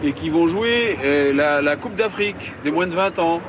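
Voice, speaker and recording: Man from Belgium, street interview, hesitant, higher pitch, traffic noise outdoors. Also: lively intonation, normal speed. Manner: friendly, hesitant with fillers.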